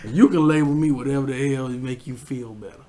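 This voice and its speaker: A man's voice: mid-range voice, quick pace, a crowd in the background. A young adult man co-hosting a podcast, joking and bantering in casual group chat and laughing often. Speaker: podcast co-host.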